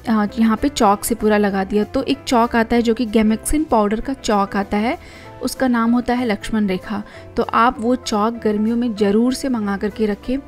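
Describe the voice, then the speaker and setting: A woman's voice: on the high side, darkish tone. A young Indian woman narrating her home cleaning vlog, chatty and explaining household tips step by step. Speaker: home vlogger.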